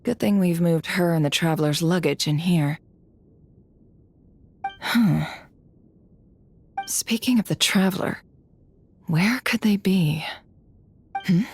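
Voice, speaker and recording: An actress playing a calm, thoughtful and gentle young woman investigator in a video game. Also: fairly low pitch, slow pace, long pauses, breathy edge, heavily acted. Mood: quietly musing.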